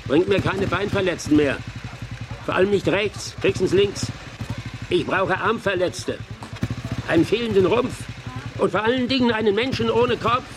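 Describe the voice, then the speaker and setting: A man playing an obsessive, excitable scientist, a pompous and halting professor in a German radio crime drama. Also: high-pitched. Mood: impatient, obsessive. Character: obsessive, excitable professor running transplant experiment. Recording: clear background noise.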